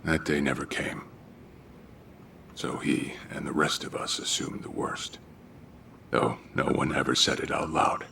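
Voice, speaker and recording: An actor playing a grim middle-aged gangster, recounting the past in a measured, solemn way in a game cutscene. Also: male voice, rumbling low, steady tone, gravelly, slight background noise, full character voice. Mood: somber. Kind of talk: dramatic video game cutscene acting. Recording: game audio with loud background music. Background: loud cinematic music.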